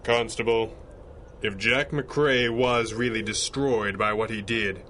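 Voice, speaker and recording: Brief: commanding 1930s radio crimefighter, pompous and sardonic, forceful bright tone, some background ambience. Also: male voice, fairly low pitch, steady tone, slow pace, slight background noise. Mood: stern, dry. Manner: pompous, dryly sardonic, precise. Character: masked male superhero, commanding, wry, formal and clipped. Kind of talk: 1930s radio adventure serial acting.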